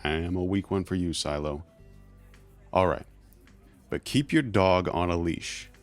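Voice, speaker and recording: A very deep-voiced underground fixer acted by a man speaks in measured, formal phrases. He is guarded and talks down to people, in audio-drama style, with faint music behind him. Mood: cool, courteous. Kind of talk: scripted audio drama acting.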